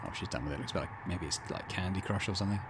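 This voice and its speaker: A man's voice: deep voice, racing. A man hosting a gaming let's play, reading aloud and adding casual, musing asides. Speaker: gaming channel host. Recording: faint background noise and ambience.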